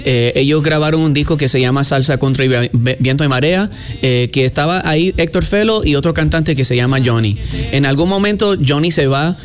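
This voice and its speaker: A man's voice: on the high side, a little fast, faint music behind. A man from Chicago, a salsa singer, telling his story casually in a radio interview, with many restarts. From Chicago, United States.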